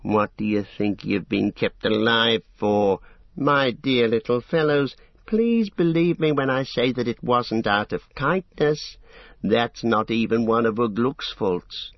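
A man's voice: mid-range voice, unhurried, no echo, lo-fi sound, lightly acted. A man voicing a menacing, greedy orc in an audiobook, hissing and drawing out mock-tender words with cruel relish. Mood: mocking cruelty. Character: sly, menacing male orc, greedy, falsely sweet, cruel. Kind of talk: audiobook fantasy villain.

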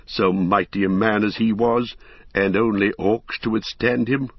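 A grim, proud old lord in mourning, grave and cold in his speech, as a man reads him in a storybook. The low voice sits close and dry, with a slightly dull, lo-fi sound. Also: fairly even intonation, a little slow, slightly rough, no echo. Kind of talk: audiobook character voice.

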